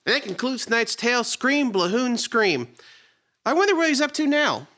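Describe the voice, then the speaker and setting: Man doing a high, bright, excitable sidekick. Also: normal speed, exaggerated voice. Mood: chipper. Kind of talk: scripted comedy dialogue.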